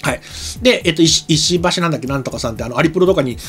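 A man's voice: a little high, expressive, very fast, a bit bright. A man in his forties casually explaining anime as a show commentator, rambling and correcting himself as he goes. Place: small indoor streaming studio. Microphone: desk microphone.

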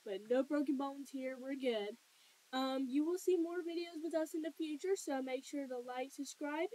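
High-pitched woman vlogger. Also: a little mellow, low-quality recording. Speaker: video host. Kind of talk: casual scripted role-play vlog narration.

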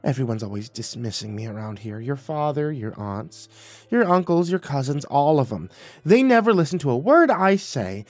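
A man's voice: medium pitch, sing-song, normal speed, no echo, faint music behind, pitched above the performer's own voice. A man voicing an older mother who is passive-aggressive, pouty and pointed, in a dramatized story. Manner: accusatory, guilt-tripping, pointed.

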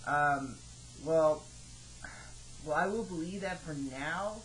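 A man in his twenties talking haltingly and questioningly, like a customer service caller on a phone call. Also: fairly high pitch, fairly bright tone. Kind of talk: hesitant phone conversation. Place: home office, indoors. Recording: noisy home computer microphone recording.

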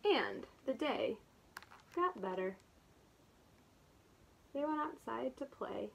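A woman with a high, bright voice reads a storybook to little children. Her delivery is slow and very lively, like a preschool teacher, with expressive asides to explain things simply. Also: creaky, a little hiss or hum. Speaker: preschool teacher reading a picture book aloud. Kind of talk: animated read-aloud storytelling for small children.

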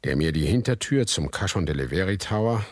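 An actor playing a wary, brooding son who narrates a German radio drama in a husky, measured, foreboding voice. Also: male voice, low-pitched, expressive, no echo, clean studio sound.

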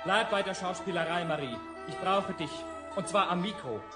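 An actor playing an impatient, sardonic director in a German radio crime drama. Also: male voice, sits high, moderate pace, lightly acted. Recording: distant, echoey radio scene with music.

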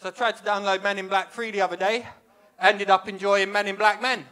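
A young man performing stand-up on a small comedy club stage, speaking into a handheld mic. His voice sits high for a man and rings bright and sharp. He delivers his lines with a wry, self-deprecating deadpan. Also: fairly quick. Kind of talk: stand-up set. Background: audience laughter.

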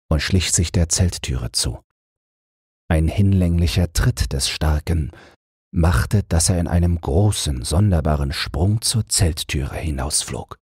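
Deep, husky male storyteller. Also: a little mellow, no echo, studio quality. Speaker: audiobook narrator. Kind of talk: scripted bedtime fairy-tale narration.

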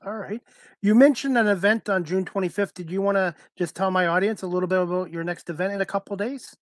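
A man's voice: high-pitched, fast talker, dry recording. A middle-aged man casually interviewing a guest as talk show host, speaking into a headset. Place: indoors, video call.

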